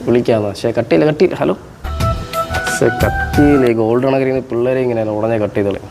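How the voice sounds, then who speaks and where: Fast-talking teasing man, music behind. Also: mid-range voice, gravelly. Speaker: prank video host. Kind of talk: casual playful prank chat.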